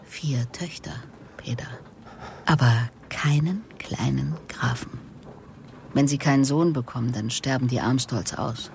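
An actress playing a composed, relentless police investigator in a German radio drama, speaking in a measured, deliberate way. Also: female voice, a bass voice, vocal fry, a little mellow, a little hiss or hum, exaggerated voice. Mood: probing. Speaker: interrogator and narrator. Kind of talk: German radio drama acting with introspective narration.

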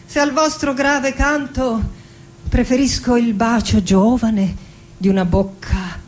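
Proud, passionate female poet: stage monologue, highish voice, unhurried dramatic declamation, defiant streak. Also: fairly even intonation. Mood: proud, mocking. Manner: declamatory, dramatic, lingering on words. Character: passionate, proud, defiant Argentine woman poet in her forties. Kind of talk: theatrical poetic monologue with music. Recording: voice over live musical accompaniment. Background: music with accordion and guitar.

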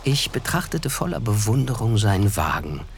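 An actor playing a reflective, observant narrator in a radio play, measured and dryly ironic in a literary way. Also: male voice, lowish voice, very animated, gravelly, a little hiss or hum. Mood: reflective, wry. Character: reflective male narrator of a 1920s American novel, observant, wry. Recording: music behind the voice.

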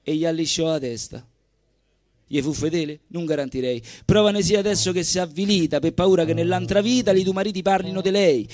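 High-voiced Roman man reciting onstage. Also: steady tone, fairly quick, a little mellow. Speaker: stage performer. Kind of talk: live recital of comic dialect verse. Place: live stage at a social centre event. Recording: live evening show recording with music.

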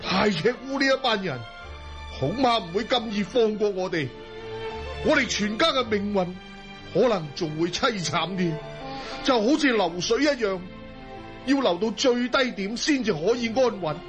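High-voiced, proud young Cantonese leader. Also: male voice, lively intonation, moderate pace, over music. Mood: resolute. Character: determined, proud young male clan leader.

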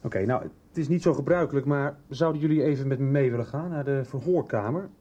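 Mellow, composed police detective played by a man. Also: mid-range voice, fast talker, lightly acted. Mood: composed, businesslike. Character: composed, businesslike male police detective questioning witnesses. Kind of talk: 1990s radio soap acting.

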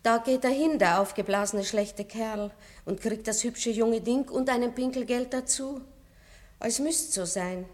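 A woman's voice: fairly high pitch, a little slow, darkish tone, close to an everyday voice. Wistful noble princess, acted by a woman. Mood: bitter, wistful. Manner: melancholy, drops to a whisper in reflection. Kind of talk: 1960s radio play acting.

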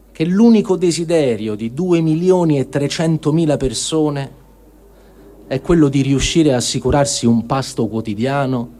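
A man giving a solemn dramatic reading of written testimony, in a measured, repeating cadence with deliberate pauses. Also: mid-range voice, mellow, slight background noise.

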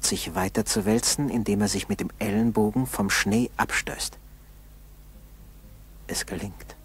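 Male narrator, 1960s radio drama: measured, unhurried, dramatic pauses, lowish pitch, faint recording noise.

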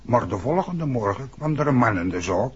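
Husky, chatty village innkeeper. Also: male voice, medium pitch, big pitch swings, moderate pace. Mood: confiding, chatty. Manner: colloquial, folksy, peppers speech with tag questions. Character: chatty, gossipy village innkeeper, plain-spoken older man. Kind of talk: 1960s radio drama acting. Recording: muffled old radio recording.

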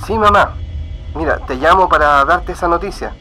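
An actor playing an evasive, hurried young son with a Chilean accent in a radio drama. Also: male voice, fairly high pitch, sharp tone, slight background noise, rough recording. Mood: reassuring, guarded. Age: young adult.

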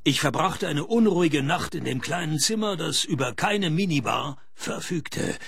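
An actor playing a wisecracking, hard-up private detective in a radio play, with dry deadpan delivery. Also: male voice, a little high, normal speed, gravelly, slightly rough, exaggerated voice. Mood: wry narrating. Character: wisecracking, cash-strapped male private detective. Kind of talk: radio play dialogue and first-person noir narration.

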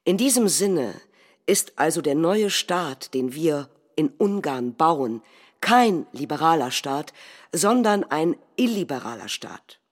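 A woman acting as a calculating nationalist head of government, delivering a measured, rhetorical political speech in a theatrical reading. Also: sits low, sing-song, heavily acted. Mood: measured, resolute. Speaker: stage actor.

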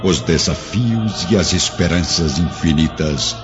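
A male narrator with a very deep voice tells a devotional radio drama, speaking very slowly and solemnly. A clear orchestral score plays under him, and the sound is slightly muffled. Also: music in the background.

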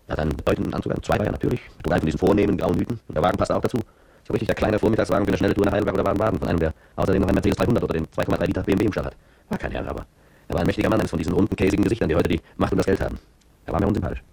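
Low husky gas station guy, fearful and bitter, fast brooding confession, radio play, very dry sound. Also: darkish tone, no echo, exaggerated voice. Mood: wary recollection. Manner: brooding, confessional first-person narration. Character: intimidated petrol station attendant, bitter, fearful ex-soldier. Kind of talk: German radio drama monologue.

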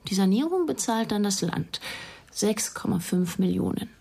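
A weary female police investigator in a naturalistic radio crime drama. Her husky voice often breaks into creak. She is bitter, terse and sardonic. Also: medium pitch, animated, normal speed, warm tone.

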